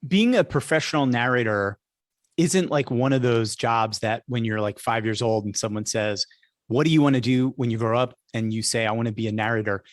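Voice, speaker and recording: A man's voice: mid-range voice, a little fast, dry recording, clean studio sound. A man hosting a podcast, delivering scripted introductions and interview questions.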